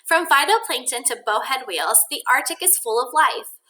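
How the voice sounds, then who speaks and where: A woman teacher reading a children's nonfiction book aloud in an expressive, deliberate way, with think-aloud asides addressed to children. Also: high voice, a little hiss or hum.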